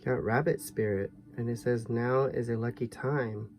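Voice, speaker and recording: A man giving a tarot reading to viewers in a soft, musing way, his voice a little hoarse. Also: medium pitch, steady tone, moderate pace, soft background music. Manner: soft, musing, thinks aloud with fillers. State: slightly hoarse, losing his voice. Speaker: tarot reader.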